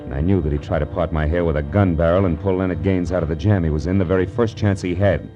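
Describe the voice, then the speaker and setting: Hard-boiled detective acted by a man, lowish pitch, American accent, sardonic, clipped delivery, vintage radio drama. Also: quick pace, dull audio, over music, close to an everyday voice. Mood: wry, tense narration. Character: tough, sardonic male private detective. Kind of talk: old-time radio detective drama, narration and dialogue.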